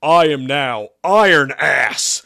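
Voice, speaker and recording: A man's voice: mid-range voice, expressive, unhurried, vocal fry, husky, no room sound, clean studio sound, exaggerated voice. A man voicing a crude, needy male demon spirit in a storybook reading, with a roaring, bombastic manner.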